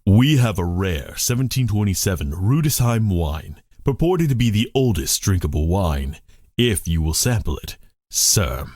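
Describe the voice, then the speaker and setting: A man's voice: low voice, big pitch swings, a little slow, darkish tone, dry recording, studio quality, exaggerated voice. A man voicing a deferential, refined fine-dining waiter in a visual novel, courteous and formal. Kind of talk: visual novel character acting.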